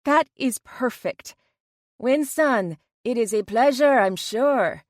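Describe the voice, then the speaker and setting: A woman voicing an excitable, playful young woman in an audiobook. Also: on the high side, normal speed, dry recording, studio quality, lightly acted. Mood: delighted.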